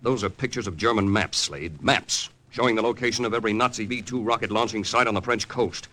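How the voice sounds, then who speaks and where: An actor playing a stern, patriotic American intelligence agent in earnest, moralizing 1940s radio style. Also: male voice, fairly low pitch, a little fast, sharp tone. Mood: urgent, reproachful. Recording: old radio broadcast, faint noise.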